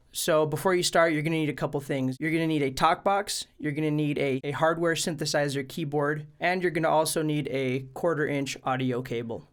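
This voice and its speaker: A man with a slightly high voice presents a how-to video. He talks casually and lays out each step plainly, like a channel host addressing regular viewers. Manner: informal, plain step-by-step explaining. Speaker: host of a music gear tutorial channel. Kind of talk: casual instructional video tutorial.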